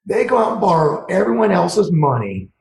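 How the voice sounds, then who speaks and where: A man with a raspy, somewhat hoarse voice speaks with lively swings in pitch. He sits a little high for a man and sounds animated. The room is echoey, with faint noise and clicks behind him. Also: normal speed. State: hoarse from yelling. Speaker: guest, financial planner. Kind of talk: casual interview chat.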